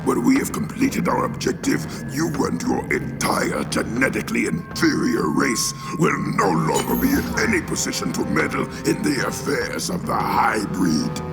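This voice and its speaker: An actor playing a haughty, menacing alien villain commander in a video game, gravelly and pompous in speech. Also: male voice, medium pitch, sing-song, moderate pace, hardly any pauses. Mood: contemptuous, threatening. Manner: pompous, threatening, formal speech. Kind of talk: video game cutscene voice acting. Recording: long hall reverb, music behind.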